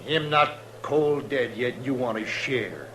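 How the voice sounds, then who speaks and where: An actor playing a callous, needling crooked cattle buyer with a sneering manner in a 1950s radio western. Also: male voice, mid-range voice, takes it slowly, close to an everyday voice. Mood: scornful. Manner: sneering, accusing. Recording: noisy 1950s radio drama recording.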